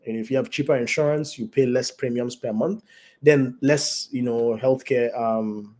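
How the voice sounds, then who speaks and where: A man with a raspy, flu-hoarse voice, a doctor explaining health care as a guest over a video call, measured and full of fillers. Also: mid-range voice, fairly even intonation, darkish tone. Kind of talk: explanatory interview talk.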